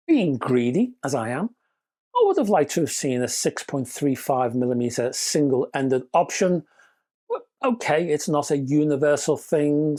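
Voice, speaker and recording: A man's voice: on the high side, darkish tone. A British man narrating a scripted product review with chatty, wry asides and emphatic phrasing.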